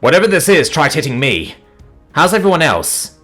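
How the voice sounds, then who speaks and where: Man acting a gruff, forceful human fighter. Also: a little high, big pitch swings, normal speed, faint music behind, voice pushed up. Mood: urgent, defiant. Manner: urgent, forceful. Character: young human male fighter, protective, gruff, defiant.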